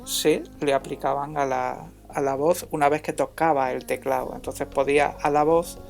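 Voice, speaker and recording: A man narrating an informal music software tutorial as an instructor, in a halting, hesitant way. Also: fairly high pitch, steady tone, gravelly.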